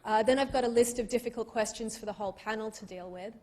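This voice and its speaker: A woman's voice: fairly high pitch, quick pace, a little mellow. A woman from Australia moderating a tech panel in a dry, witty, articulate way. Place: auditorium.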